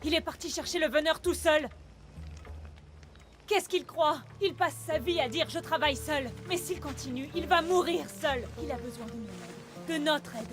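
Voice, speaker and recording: A woman's voice: sits high, normal speed, music in the background, close to an everyday voice. A woman acting a determined young huntress in a dubbed video game, earnest and urgent. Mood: exasperated, worried. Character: determined young huntress worried for her stubborn father.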